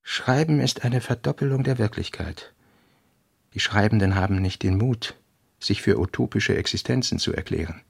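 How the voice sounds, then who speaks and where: Thoughtful writer guy reading his notes, raspy and creaky, radio drama, really dry sound. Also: on the low side, normal speed, dry recording. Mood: reflective. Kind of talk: literary radio play reading of aphorisms.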